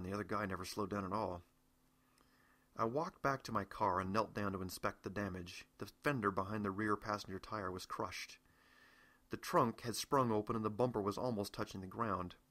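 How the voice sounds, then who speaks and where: A man's voice: lowish voice, pushed and bright. A middle-aged American man reading his own novel aloud as first-person audiobook narration.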